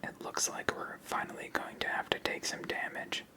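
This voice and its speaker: An ASMR host speaks entirely in a whisper. The delivery is a methodical card game walkthrough, explaining each move step by step. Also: moderate pace.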